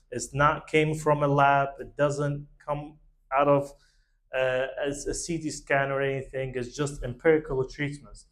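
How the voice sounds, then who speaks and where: A man giving an informal explanatory monologue as a show host, speaking haltingly in rambling phrases with grammar. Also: mid-range voice. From Middle East. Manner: halting, rambling, with broken phrasing and non-native English grammar. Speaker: host of a concept talk show.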